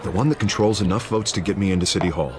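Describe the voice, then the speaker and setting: An actor playing an affable, persuasive politician in a video game, with a smooth-talking, coaxing manner. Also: male voice, deep voice, very fast, crowd noise, natural voice. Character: affable, persuasive male politician, eager to please.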